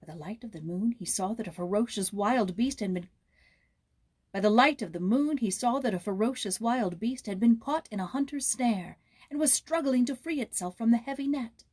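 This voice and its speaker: A woman narrating a children's story aloud in a measured, expressive storytelling manner. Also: on the high side, breathy edge. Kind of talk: storybook read-aloud with informal introduction.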